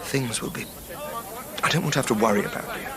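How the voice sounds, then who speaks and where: An actor playing a distraught, domineering Edwardian English husband in a period radio drama, agitated, stammering and imperious. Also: male voice, mid-range voice, fast, crowd noise, lightly acted. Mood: insistent.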